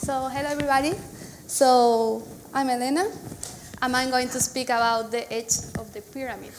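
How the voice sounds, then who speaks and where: A woman's voice: high voice, fairly even intonation, moderate pace, slight background noise, rough recording. A woman in her thirties from Spain with a Spanish accent, giving a chatty conference talk full of "so"s and restarts. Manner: chatty storytelling with many "so"s and restarts. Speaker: conference speaker. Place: conference hall.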